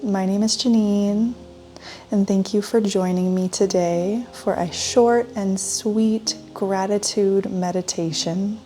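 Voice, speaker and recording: A woman leading a guided meditation in a gentle, soothing manner with long pauses. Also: medium pitch. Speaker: meditation guide, yoga teacher. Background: soft instrumental music.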